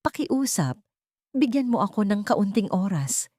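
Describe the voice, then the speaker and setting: A woman's voice: medium pitch, big pitch swings, a little slow, warm tone, no echo, studio quality, voice pushed up. A woman narrator with a Filipino accent voicing a pleading, tearful wife with a secret in an audiobook. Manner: imploring. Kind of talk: audiobook character dialogue.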